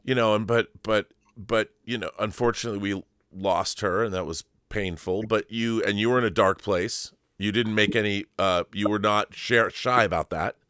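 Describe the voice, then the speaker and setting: Clear-voiced male podcast host, dry sound. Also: lowish voice, steady tone, normal speed, few pauses, a bit bright, no echo. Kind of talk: casual conversational interviewing.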